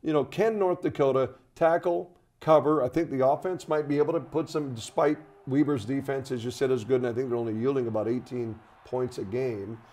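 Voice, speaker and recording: An older man discusses sports as a play-by-play commentator in a TV studio. His voice sits at a middle pitch with a soft, mellow tone. He talks conversationally, doubling back on words as he builds a point. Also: steady tone, a little fast, hardly any pauses.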